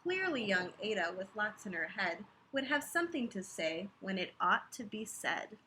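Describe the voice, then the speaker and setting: A woman reading a children's picture book aloud expressively, pausing to chat to her young listeners about the story. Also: medium pitch, a little hiss or hum. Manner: animated, pauses to comment and ask listeners questions.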